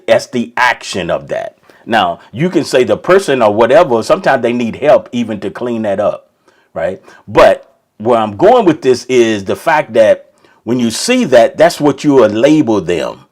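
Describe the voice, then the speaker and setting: A man's voice: on the low side, darkish tone. A man preaching an informal sermon, rambling with many restarts and checking in with "right?". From Kentucky.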